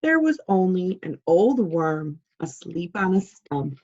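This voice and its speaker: A woman voicing a friendly frog who calmly and patiently tells a story of his childhood. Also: lowish voice, slow pace, muffled recording, exaggerated voice. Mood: reminiscing.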